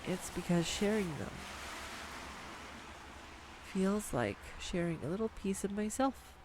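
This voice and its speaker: A woman voicing a nerdy, affectionate girlfriend who rambles excitedly about animal facts in an intimate audio roleplay. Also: medium pitch, slow, background noise. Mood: fond. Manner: enthusiastic, rambling, tangent-prone, giggly. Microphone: studio USB microphone.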